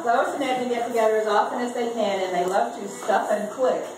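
Older lady reading funny stuff at a reunion, echoey garage, really loud background noise. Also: on the high side, brisk, far from the mic. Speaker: reader at a family reunion. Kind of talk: reading a comic text aloud to a gathering. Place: open garage full of seated relatives. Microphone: handheld camera a few meters away. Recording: roomy, noisy garage from off camera mic.

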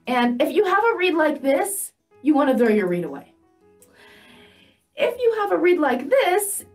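High, lively young woman teaching. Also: distant mic. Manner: patient, explains slowly, holds up examples. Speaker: music teacher. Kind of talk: instructional demo for beginners. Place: studio set with banner backdrop, indoors.

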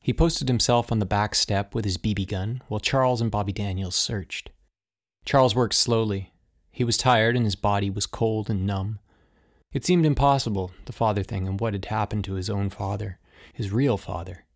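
A man's voice: on the low side, normal speed. A man reading scripted narration of a suspense story as an audiobook narrator.

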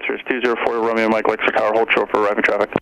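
A man's voice: lowish voice, level tone, rapid-fire, fairly bright tone. A man working as an air traffic controller, speaking in clipped phraseology over an aviation radio. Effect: aviation radio transmission. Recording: noisy crackly aviation radio, engine drone. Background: aircraft engine noise.

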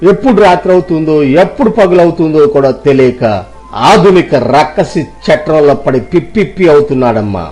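A kindly, philosophising village uncle of middle age, played by a man, from a moral story drama. His voice sits rather high for a man, and he reassures and moralizes earnestly. Soft music plays behind him. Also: natural voice.

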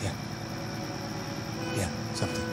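An actor playing a shaken, conscience-stricken army lieutenant in a radio drama, speaking hesitantly and haltingly. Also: male voice, over music, lightly acted.

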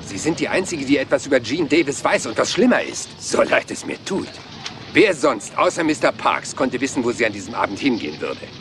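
A man's voice: medium pitch, sing-song, a little fast, music in the background, close to an everyday voice. An actor playing a rumpled, humble male police inspector in a German crime drama dub, apologetic and politely persistent. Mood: humble, dogged.

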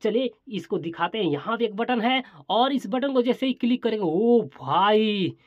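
A man's voice: high-pitched, animated, moderate pace, no room sound. Male product-showcase host, chatty, exclamatory and repetitive, casual demo style, close dry sound with lo-fi dullness. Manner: exclamatory, chatty, repeats phrases while demonstrating. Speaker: toy and gadget review host.

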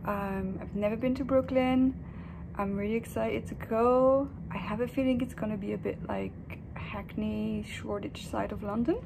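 A young woman talks casually into a phone camera in a hotel room. Her voice is soft, thoughtful and a little hesitant, with a gravelly fry at the ends of phrases. A steady hiss of room noise sits behind her. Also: mid-range voice, moderate pace. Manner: soft, reflective, hesitant with fillers. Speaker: vlogger. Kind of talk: casual vlog talk to camera.

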